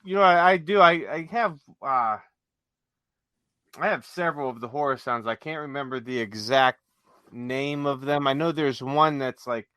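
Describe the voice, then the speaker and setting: A man with a bright, smooth voice co-hosts a casual podcast. The sound is dry and close, and he speaks haltingly, searching for words. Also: medium pitch, no room sound. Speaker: podcast co-host. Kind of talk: casual chat.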